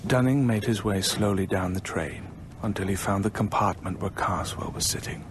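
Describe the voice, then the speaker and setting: A man plays a British teller of ghost stories in a radio drama. His voice is low and deliberate, built for suspense, with faint noise behind. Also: close to an everyday voice. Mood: suspenseful. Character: measured male teller of a ghost story. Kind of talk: scripted radio drama narration.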